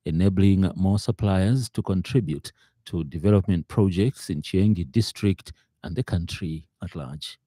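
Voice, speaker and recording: A man's voice: low voice, warm tone, no room sound, studio quality. A man with a Zambian accent reading a scripted radio news bulletin, with occasional hesitations. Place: radio studio.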